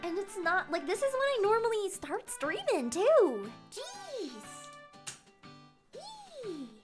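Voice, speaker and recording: A woman in her twenties, chatty and rambling with quick laughs, reading chat questions aloud on a casual live stream. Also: very high-pitched, huge pitch swings, soft background music.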